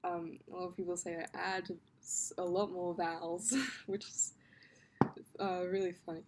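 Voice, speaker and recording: A young woman talks with a softened, posh Australian accent, her voice sitting slightly low. She rambles casually, filling gaps with "um" and "like." A faint hum runs under the recording. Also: level tone, normal speed. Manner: chatty, rambling with many ums and likes, laughs often. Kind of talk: casual talk to camera about her accent.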